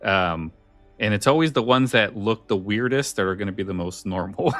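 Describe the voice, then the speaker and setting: Low-voiced man chatting on a podcast. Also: lively intonation, moderate pace, a bit bright, no echo, faint music behind. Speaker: podcast host. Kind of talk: casual conversation about scary stories.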